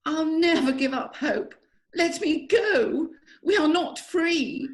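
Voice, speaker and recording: A woman playing a passionate aristocratic widow in an amateur play reading, with theatrical, drawn-out delivery. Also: sits high, animated. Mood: melodramatic. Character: melodramatic aristocratic lady of the manor, passionate widow.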